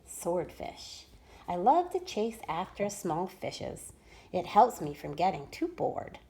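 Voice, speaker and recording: Expressive, gravelly-voiced preschool teacher. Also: female voice, fairly low pitch, slow. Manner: expressive, sing-song storytelling. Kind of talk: reading a picture book aloud to children.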